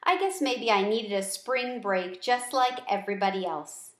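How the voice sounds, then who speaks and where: A middle-aged woman reading a children's book aloud with deliberate, expressive storytelling phrasing. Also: medium pitch. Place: small room at home. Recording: roomy home recording.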